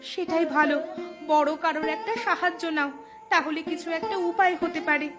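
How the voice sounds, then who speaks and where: Woman acting a very high-pitched, plaintive cartoon wife. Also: brisk, over music. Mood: concerned. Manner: plaintive, emotive. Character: anxious, plaintive village wife. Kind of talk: Bengali moral cartoon dialogue.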